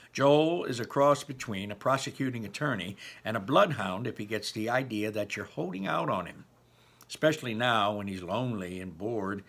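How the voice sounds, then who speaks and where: A man reading a novel aloud in an expressive, emphatic, informal way, like a teacher, with personal asides. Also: mid-range voice.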